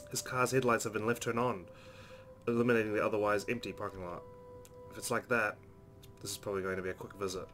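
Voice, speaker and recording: A man's voice: fairly low pitch, fairly bright tone. A man hosting a let's play, reading visual novel narration aloud and adding casual commentary.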